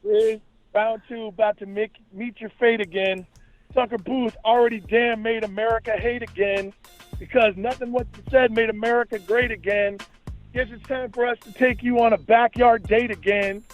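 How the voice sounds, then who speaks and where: High-voiced man, rapper on a talk show. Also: fairly even intonation, normal speed, soft background music. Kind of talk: freestyle battle rap and casual promotional chat.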